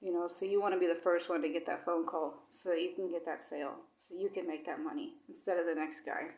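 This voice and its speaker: Woman just chatting away, kinda rambly, lots of "like" and "you know", slightly low voice. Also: fairly even intonation, quick pace, a little mellow, echoey room, rough recording. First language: English. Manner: chatty, rambling, with many fillers; mixes in Swedish words. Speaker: vlogger. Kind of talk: casual personal chat.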